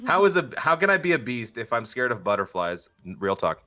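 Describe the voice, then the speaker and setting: Bright-voiced young man, playful and jokey. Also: medium pitch, sing-song, a little fast, soft background music. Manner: playful, jokey, self-deprecating. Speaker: podcast guest, voice actor. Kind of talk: casual podcast chat.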